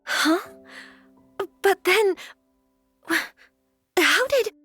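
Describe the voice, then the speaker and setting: A woman's voice: very high-pitched, animated, drawn-out words, a bit bright, no room sound, soft background music, full character voice. A woman playing a polite, earnest young noblewoman in a video game, speaking formally with some anxious hesitation. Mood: flustered.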